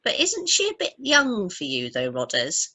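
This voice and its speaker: Woman acting a bright, gushing, gossipy young woman. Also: mid-range voice, wildly swooping pitch, normal speed, husky, full character voice. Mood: curious, gossipy. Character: chatty, gushing pregnant young woman, nosy and frank. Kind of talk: amateur radio play acting.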